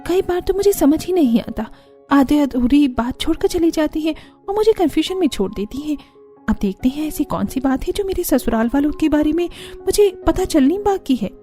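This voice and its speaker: A woman's voice: sits high, expressive, moderate pace, dark tone, faint music behind, voice pushed up. A woman voicing a curious, eager young daughter-in-law in a cartoon story, puzzled and full of questions.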